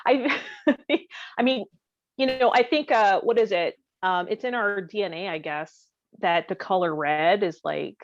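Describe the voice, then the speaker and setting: A woman's voice: mid-range voice, measured pace, slight background noise. A woman co-hosting a podcast in casual, comedic conversation, with a joking, bantering way of talking. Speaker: podcast co-host. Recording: dull, boxy, dry lo-fi audio.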